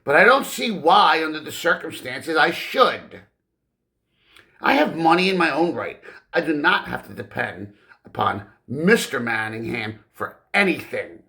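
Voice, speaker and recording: A male narrator plays a genteel, headstrong Victorian wife in an audiobook novel. The delivery is indignant and formal, with lively swings of pitch and a bright, tense edge. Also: measured pace, creaky, heavily acted. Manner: formal, emphatic speech.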